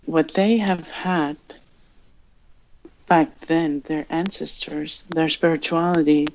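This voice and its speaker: Greenlandic woman in her thirties, journalist guest, unhurried, slightly muffled recording. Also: low-pitched, fairly even intonation, darkish tone. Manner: slow, thoughtful, searching for words. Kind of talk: reflective interview answers. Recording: dull lo-fi sound.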